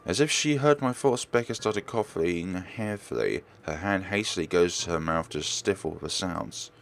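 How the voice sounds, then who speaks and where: A man's voice: sits low, normal speed, creaky. A man doing a let's play, reading a visual novel aloud and stumbling over words before correcting himself. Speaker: let's player.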